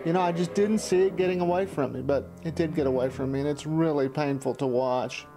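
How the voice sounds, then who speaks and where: A man's voice: on the high side, a little fast, dark tone. A middle-aged man telling stories of his amateur filmmaking in a conversational, candid and self-deprecating way. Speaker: amateur filmmaker recalling his past productions. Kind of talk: documentary reminiscence, conversational narration. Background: background music.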